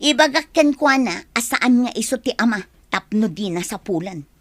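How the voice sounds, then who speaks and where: A woman's voice: on the high side, animated, moderate pace, no room sound. A woman with an Ilocano Filipino accent playing a guarded, defensive young mother in a radio drama. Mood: worried.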